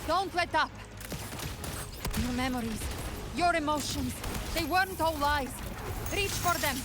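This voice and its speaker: High, fierce battle fighter. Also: female voice, unhurried. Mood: urgent. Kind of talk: dramatic game battle acting. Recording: buried under very loud music.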